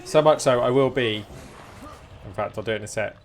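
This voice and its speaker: British man, casual game streamer, chatty running commentary, average pitch, faint music behind. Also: brisk. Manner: chatty, reacting aloud to the game. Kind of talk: casual live gameplay commentary.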